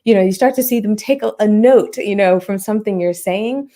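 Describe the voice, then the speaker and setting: A woman's voice: medium pitch, fairly quick, mellow. A woman hosting a podcast interview, chatting casually with many fillers. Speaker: podcast host.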